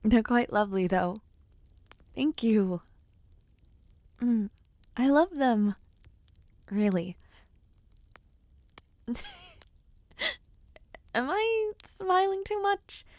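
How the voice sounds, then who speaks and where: A woman playing a giddy, bashful young noblewoman in an intimate romance audio roleplay, affectionate and teasing, with soft hesitations. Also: high voice, no echo, studio quality, exaggerated voice. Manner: soft hesitations, gentle teasing, shy giggles. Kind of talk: intimate scripted audio roleplay, speaking to the listener.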